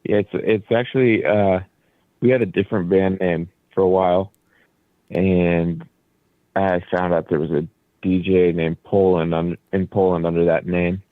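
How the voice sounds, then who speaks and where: Guy with a croatian first language, real low voice, sounds like a thin phone line, dry, just chatting loosely about his band. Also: measured pace, no room sound. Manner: relaxed, explains at length with fillers. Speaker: band leader and studio guest. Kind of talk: casual radio interview.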